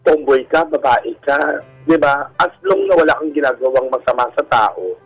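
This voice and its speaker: A Filipino man speaks candidly about his own life in a husky voice with an effeminate manner. His pitch sits in the middle of the male range. Soft music plays under the radio recording. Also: moderate pace, rough recording. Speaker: radio show guest telling his life story. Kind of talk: candid personal storytelling in an interview. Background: background music.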